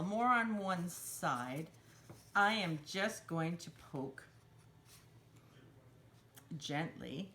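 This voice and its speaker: Woman with lively pitch hosting kids' craft time. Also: slight background noise. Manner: simple instructional phrasing, refers to herself in third person. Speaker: children's storytime craft host. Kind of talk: step-by-step craft demonstration for young children.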